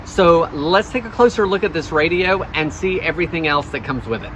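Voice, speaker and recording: A man's voice: a little high, expressive, a little fast, fairly bright tone, a little hiss or hum, rough recording. A man giving a casual product review, explaining features plainly, step by step.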